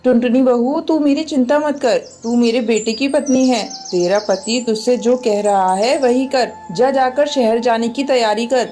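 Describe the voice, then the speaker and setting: A woman plays an elderly mother pigeon in a cartoon, frail and loving. The tone is dark and mellow, with gentle instruction and some music underneath. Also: fairly high pitch, unhurried, few pauses, close to an everyday voice. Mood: reassuring.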